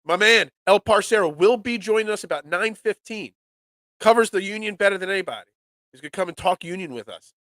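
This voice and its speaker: A man hosting a live sports talk show, nasal and congested from a cold, excitable and rambling. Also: high-pitched, a little fast, no room sound. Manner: excitable, rambling, restarts often.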